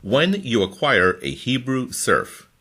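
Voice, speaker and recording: A man reading scripture from a formal translation in a deliberate, phrase-by-phrase manner. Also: medium pitch, big pitch swings, bright, forceful tone. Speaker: scripture reader.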